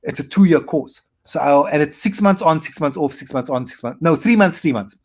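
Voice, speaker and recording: A South African man in his forties, a comedian telling his story in a casual interview and acting out his past self in quick asides. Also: a little high, expressive. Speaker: comedian guest.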